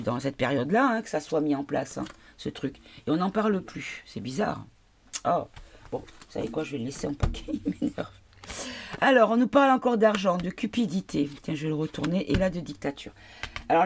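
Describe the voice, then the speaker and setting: A woman's voice: lowish voice, darkish tone, a little hiss or hum. Tired woman with a head cold. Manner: halting, searching for words with many fillers. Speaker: card reader. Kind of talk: casual spoken card reading.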